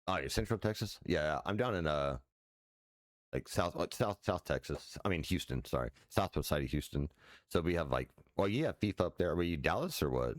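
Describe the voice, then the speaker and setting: A man in his forties, a streamer with a deep voice, chatting loosely at home with many fillers and restarts and casual swearing. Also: expressive, fairly quick, no room sound, clean studio sound. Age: 40s. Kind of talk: casual rambling chat while sim racing. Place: his house.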